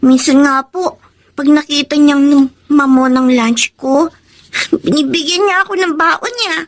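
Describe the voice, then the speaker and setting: A woman's voice: sits high, a little slow. An actress playing a sweet, polite little schoolgirl in a radio drama. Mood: sweet, reassuring.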